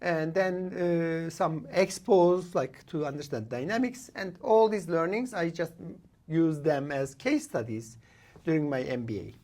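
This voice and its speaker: A man in his late forties from Turkey, whose first language is Kurdish, talks as a guest in a casual podcast interview in a studio. He speaks in short bursts with frequent "uh" pauses and restarts. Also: fairly high pitch, a little mellow. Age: late 40s.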